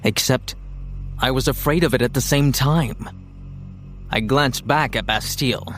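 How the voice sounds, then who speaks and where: A man's voice: mid-range voice, a little slow, fairly bright tone, faint music behind, close to an everyday voice. An actor playing a wry, self-mocking young hero who narrates his own adventure in an audio drama, confiding. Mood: reflective. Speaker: first-person narrator.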